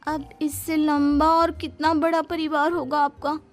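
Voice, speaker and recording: A woman's voice: high-pitched, little pitch movement, unhurried, faint music behind. A woman playing a sarcastic, grumbling young bride in a cartoon story, with an exasperated, complaining manner. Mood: annoyed, sarcastic. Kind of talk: Hindi cartoon story dialogue.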